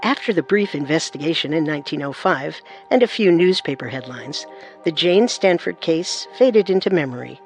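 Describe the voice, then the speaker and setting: A woman's voice: low voice, expressive, normal speed, faint music behind. Woman narrating true crime with suspense.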